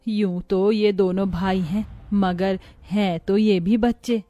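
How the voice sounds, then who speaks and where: A woman's voice: medium pitch, steady tone, slow, mellow, dry recording, muffled recording. A woman reading a scripted cartoon story as narrator, with sorrowful, sympathetic storytelling.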